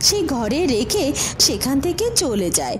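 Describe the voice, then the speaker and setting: A woman's voice: high-pitched, huge pitch swings, moderate pace. A woman narrating a scripted fairytale as a storyteller. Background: music.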